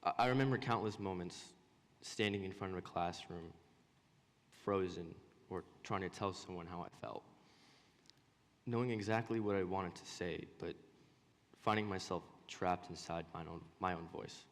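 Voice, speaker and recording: A young man, a student presenter, giving a personal speech on stage with a stutter, halting pauses and repeated words. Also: low voice. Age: young adult. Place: stage in a large hall. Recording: echoing hall with long reverb.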